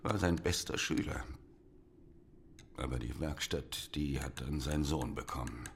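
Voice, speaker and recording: A weary, resigned village father in a radio drama. His extremely low voice creaks along slowly, heavy with resignation. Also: a little hiss or hum, heavily acted. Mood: weary regret. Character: resigned village father, a former watchmaker's apprentice.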